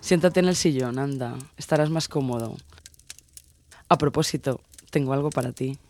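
A man's voice: medium pitch, normal speed, darkish tone, slight background noise, lightly acted. A man playing an intense, possessive sailor woman of twenty-six in an audio drama, speaking in an insistent, teasing way. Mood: coaxing. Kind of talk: dramatised audio story dialogue.

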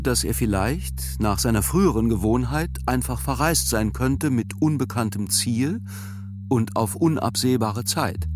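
An actor playing a thoughtful first-person narrator in a radio drama, telling his recollections in a measured, precise, sober way. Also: lowish voice, background noise, natural voice.

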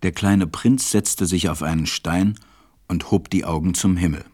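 A man playing a reflective storyteller who confides in children, narrating a radio play in a measured way. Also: sits low, warm tone, no room sound, studio quality.

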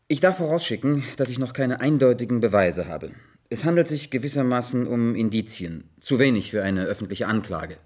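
A man's voice: medium pitch, animated, fairly quick, darkish tone, lightly acted. An actor playing an earnest, methodical male investigator in a German radio play, speaking formally, precisely and insistently. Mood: guarded, formal.

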